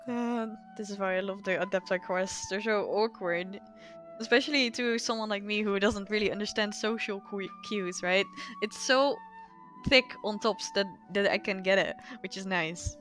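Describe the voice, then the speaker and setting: A woman's voice: mid-range voice, normal speed, a bit bright, soft background music. A woman streaming a game with casual, off-the-cuff playthrough commentary.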